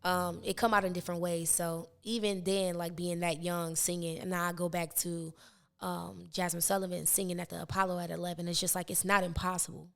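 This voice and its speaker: A young woman with a raspy voice, a touch lower than most women, talks in a loose, rambling way. She fills her sentences with "like" and restarts as she goes. It sounds like a relaxed podcast interview. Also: fairly quick. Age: 20s. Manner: rambling, many fillers and restarts. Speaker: rapper and podcast guest. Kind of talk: casual interview chat.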